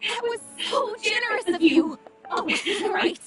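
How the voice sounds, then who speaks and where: A woman's voice: very high voice, unhurried. A woman playing a bubbly, sociable young woman in a video game, effusive and keen to rally her friends. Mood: delighted, welcoming. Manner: effusive, exclaiming. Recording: echoey, distant, loud music behind.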